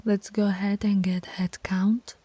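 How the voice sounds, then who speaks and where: A woman's voice: medium pitch, little pitch movement, unhurried, mellow, breathy edge, no echo. A woman voicing a stern, teasing alpha werewolf pack leader in an audio roleplay, authoritative and taunting. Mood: brisk, commanding.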